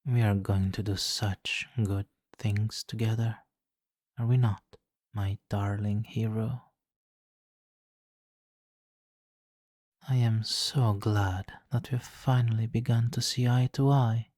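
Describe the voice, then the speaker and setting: Man acting a slow, silky, low-voiced supervillain. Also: fairly even intonation, darkish tone, no echo, studio quality. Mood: amused, fond. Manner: slow, silky, theatrically polite, dips into whispers. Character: suave manipulative male supervillain, smugly doting on nemesis. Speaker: audio roleplay performer. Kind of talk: ASMR roleplay, hypnotic countdown.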